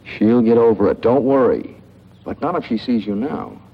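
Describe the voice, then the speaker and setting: An actor playing a smooth-talking fugitive killer who poses as a friendly big brother, coaxing and persuasive in 1940s radio thriller style. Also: male voice, mid-range voice, big pitch swings, moderate pace, full character voice. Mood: coaxing, urging. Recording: muffled, noisy old radio recording.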